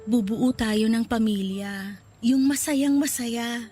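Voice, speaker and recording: A woman playing a gravely ill young woman in a sentimental radio romance drama, loving, earnest and resigned to dying. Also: fairly high pitch, slow, dark tone. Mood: hopeful. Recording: music under the voice.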